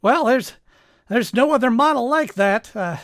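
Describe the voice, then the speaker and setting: A woman's voice: on the high side, animated, a little slow, dry recording, clean studio sound. A woman playing a loyal, hesitant military radar sergeant in a radio drama, deferential, tentative and stammering. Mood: nervous, amazed. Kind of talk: live radio drama acting.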